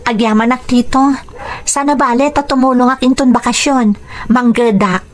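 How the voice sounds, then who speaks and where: A woman playing a grateful, earnest high school girl in an Ilocano radio drama, with an Ilocano accent and polite delivery. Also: fairly even intonation, normal speed, lightly acted. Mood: eager, grateful.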